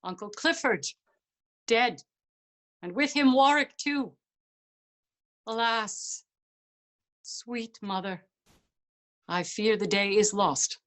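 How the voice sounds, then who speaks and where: A woman playing a grieving young prince in a staged reading of verse drama, with formal, deliberate delivery. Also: mid-range voice, a bit bright. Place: remote video call.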